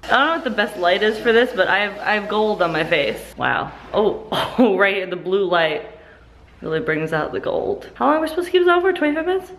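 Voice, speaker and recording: A woman's voice: mid-range voice, big pitch swings, brisk, a bit bright, slight background noise, low-quality recording. A woman in her twenties chatting casually to a handheld camera at arm's length as she vlogs her day. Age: 20s. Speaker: daily vlogger. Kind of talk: casual vlog chat to camera. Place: handheld vlogging in streets, shops and rooms at home. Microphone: camera held at arm's length. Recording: clear music behind the voice.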